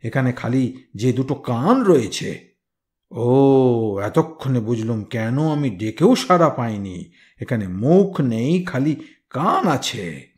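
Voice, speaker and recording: Storybook ghost warrior, played by a man: grim, commanding, mid-pitched, muttering with slow menace. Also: animated, a little mellow. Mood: puzzled, menacing. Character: ghostly samurai messenger, menacing and grimly puzzled.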